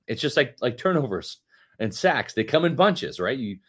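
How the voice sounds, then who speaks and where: A man's voice: mid-range voice, sing-song, normal speed, slightly rough. A man in his fifties co-hosting a late-night sports podcast, joking and emphatic, reading an ad in his own words. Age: 50s. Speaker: podcast co-host.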